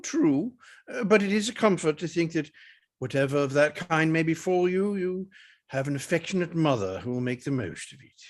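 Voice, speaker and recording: A man's voice: on the high side, animated, normal speed, natural voice. A man playing a wry, teasing older father, genteel and sardonic, in a staged reading of a period comedy. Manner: droll, sardonic.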